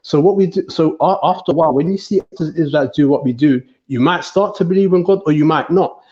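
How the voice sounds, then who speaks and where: Guy from montserrat arguing on a voice chat panel, keeps restarting, kinda high voice, dull lo-fi sound. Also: quick pace, a little mellow. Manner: repetitive, restarts often, preachy. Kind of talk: online panel debate.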